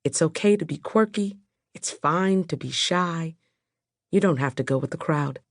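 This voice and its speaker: A woman narrating a children's audiobook from a script, telling the story in a measured, clear way. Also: low-pitched, dry recording, clean studio sound.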